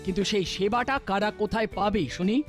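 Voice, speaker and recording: Casting: woman as strict animated mother, mid-pitched, probing and no-nonsense, music underneath. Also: lightly acted. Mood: suspicious. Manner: brisk scolding, questioning. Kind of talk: cartoon voice acting. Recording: music behind the voice. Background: cartoon background music.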